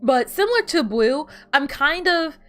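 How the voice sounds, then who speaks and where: A woman's voice: high voice, expressive, measured pace, a bit bright, soft background music. A woman whose first language is Spanish, chatting casually with viewers while she plays a video game, rambling and often restarting her sentences. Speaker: streamer.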